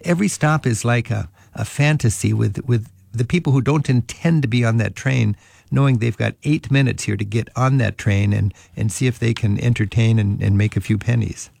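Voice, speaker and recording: An older man hosting a travel interview, warmly reminiscing and thinking aloud with small restarts. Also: mid-range voice, normal speed, vocal fry, no room sound. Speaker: travel radio show host. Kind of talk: conversational interview.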